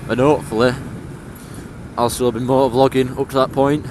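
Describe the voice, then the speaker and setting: A man's voice: medium pitch, flat delivery, normal speed. Laid-back young man, broad Yorkshire accent. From England. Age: late teens. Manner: chatty, laid-back, laughs now and then. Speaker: motovlogger. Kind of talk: casual vlog chat while riding. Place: outdoors on a scooter by roadside. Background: faint engine and traffic noise.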